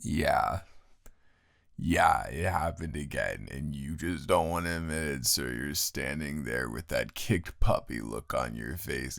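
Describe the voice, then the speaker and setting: A man's voice: a bass voice, creaky, fairly bright tone, no echo. A man improvising a rambling, exasperated and playfully dramatic monologue as a grumpy but fond roommate, close on the mic. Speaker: audio roleplay performer.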